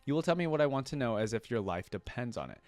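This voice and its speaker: A man reads the part of an imperious woman interrogator in a storybook tale. Her words are formal, measured and menacing, with icy courtesy, in a mid-pitched voice. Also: big pitch swings, a little mellow, no room sound, natural voice. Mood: cold, threatening. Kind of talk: storybook read-aloud.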